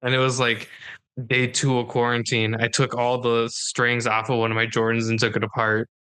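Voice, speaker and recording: A man's voice: mid-range voice, monotone, normal speed, a bit bright, clear, resonant tone. A man in his late twenties co-hosts a podcast and talks in a loose, offhand way. He drops the occasional swear word. His chat with the guests stays casual. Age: 20s. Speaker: podcast co-host.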